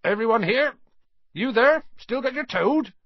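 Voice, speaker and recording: A man voicing a huge, hearty gamekeeper in a storybook, calling out rough, informal commands in a big booming shout. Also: expressive, normal speed, no room sound, highs cut off, exaggerated voice. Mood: hearty, bellowing. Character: huge, hearty male gamekeeper bellowing to children.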